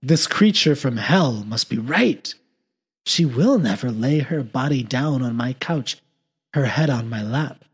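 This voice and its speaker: A grief-stricken lonely man, anguished and desperate, as a storyteller puts him on. His husky voice climbs higher and louder as he pleads in a theatrical manner. The tone stays raw and strained. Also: expressive, measured pace. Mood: brooding. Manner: pleading, theatrical, raises pitch and volume.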